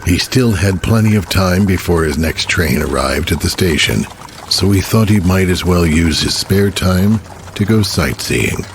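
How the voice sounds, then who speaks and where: A man's voice: sits low, lively intonation, a little slow, a little hiss or hum. A man reading scripted story narration as the narrator of an audio drama. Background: music.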